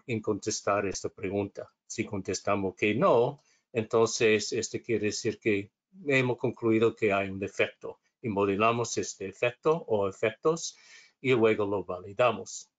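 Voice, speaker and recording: Low-voiced man, hesitant. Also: fairly even intonation, a little slow. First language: English. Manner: hesitant, self-correcting, reading from notes. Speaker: instructor. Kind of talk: webinar lecture.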